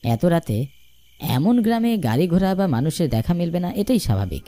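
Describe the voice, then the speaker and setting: A man narrating a scripted story in the first person, expressive and dramatic. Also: on the high side, fairly quick. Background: music.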